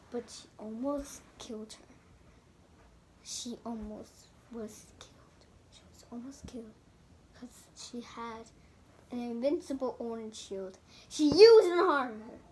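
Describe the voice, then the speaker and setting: A child improvising a fairy tale with toys, telling it dramatically in halting sentences. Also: mid-range voice. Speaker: storyteller. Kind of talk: improvised storytelling of a toy play fairy tale. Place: indoors in a room at home.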